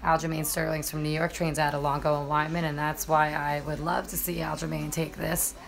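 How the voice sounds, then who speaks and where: A slightly hoarse woman hosting a casual live stream about fights, chatting to viewers with lots of fillers. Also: low voice, little pitch movement, fairly quick. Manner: chatty, many fillers, addresses viewers directly. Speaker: MMA journalist and live-stream host.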